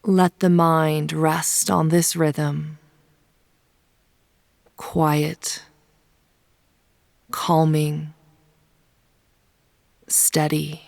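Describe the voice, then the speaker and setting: A woman leading a guided yoga nidra meditation in a soft, soothing, unhurried manner, with phrase ends sometimes hushed. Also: sits low, little pitch movement, creaky, no room sound, studio quality. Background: soft pink noise.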